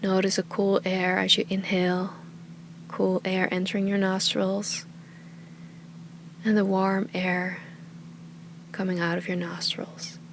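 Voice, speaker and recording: Calm lady leading a guided meditation, soft n unhurried, medium pitch, goes breathy at phrase ends, bit of noise behind her. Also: rough recording. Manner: calm, soothing, unhurried, often trailing into breathy softness. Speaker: yoga and meditation teacher.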